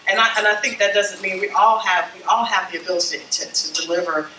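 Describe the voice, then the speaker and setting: A woman's voice: medium pitch, fast, sharp tone, reverberant, far from the mic. A woman with a slightly scratchy voice from a summer flu, giving a workshop talk to a small group and often checking in with "okay?" and "you know?". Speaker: branding consultant speaking to church leaders. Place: meeting room with a small group.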